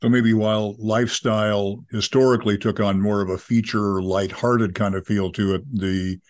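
A man's voice: fairly low pitch, little pitch movement, normal speed, no echo, clean studio sound. A man interviewing a journalist, asking long questions with hesitant restarts. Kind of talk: campus podcast interview.

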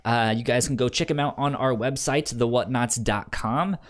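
A man's voice: medium pitch, normal speed. A man co-hosting a podcast in casual chat, stammering and often repeating words. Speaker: podcast co-host.

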